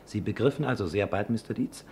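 Ein Schauspieler spielt in einem deutschen Hörspiel einen schmeichelnden, umständlich höflichen Zeitungsreporter mit förmlicher, gemessener Sprechweise. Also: male voice, on the low side, a little mellow, slight background noise, close to an everyday voice. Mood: polite, flattering. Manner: elaborately polite, measured, formal phrasing. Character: courteous, flattering newspaper reporter, smoothly polite man. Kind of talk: German radio play acting.

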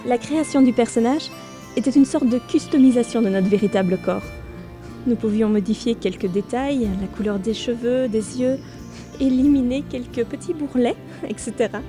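A woman playing a novice ranger who tells her own adventure in a fantasy audio drama, measured and a little wry. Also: a little high, music in the background, close to an everyday voice. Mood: wry, curious. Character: young female ranger, novice gamer, wry and curious narrator. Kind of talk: first-person audio drama narration.

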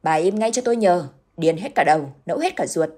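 A woman voicing a gruff, worried village husband who snaps and then speaks gravely. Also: a little fast, mellow. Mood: angry. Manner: curt, barking, then grave and low.

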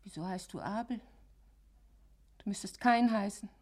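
An actress in a German radio drama playing a tormented, guilt-ridden wife who broods on death in a breathy, often whispering voice. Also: female voice, medium pitch, normal speed, a little mellow, close to an everyday voice. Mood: haunted, brooding. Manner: brooding, haunted, repeating phrases.

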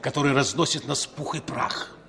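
Suave spy contact, middle pitch, measured, cautious, 1960s radio drama. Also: male voice, pushed and bright. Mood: businesslike.

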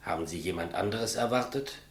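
A male actor plays an elderly executioner of the state in a 1950s German radio play. The voice is fairly low, and the delivery plain and businesslike. He is deferential and awkwardly polite, treating his grim trade as ordinary work. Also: brisk, close to an everyday voice. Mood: calm, polite. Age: older.

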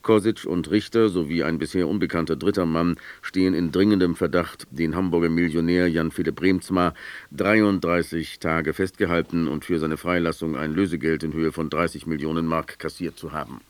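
A man reading scripted announcements and news on the radio in a formal, measured, factual manner. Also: sits low, fairly even intonation, no room sound. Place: dry radio studio.

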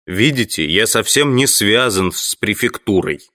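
An actor playing a polite, educated teacher who collects insects, speaking courteously and patiently in an audio drama. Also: male voice, lowish voice, lively intonation, unhurried, sharp tone. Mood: polite, reassuring.

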